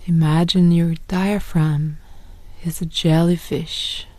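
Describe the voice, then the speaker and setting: Woman meditation guide, half-whispered delivery, very slow and flat, dry close sound. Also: lowish voice, dry recording. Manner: soft, slow, partly whispered.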